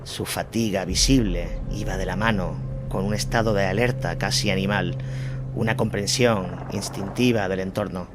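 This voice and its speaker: A man's voice: deep voice, fairly even intonation, normal speed. A man narrating a dramatized war chronicle as a scripted audio story. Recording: music bed behind the voice. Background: music.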